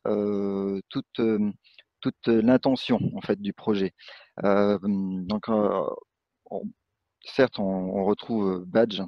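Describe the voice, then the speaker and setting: Halting young man on a video call. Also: lowish voice, dry recording. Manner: halting, many fillers and restarts. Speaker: webinar co-presenter. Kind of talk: hesitant webinar presentation. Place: office. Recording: muffled video call audio.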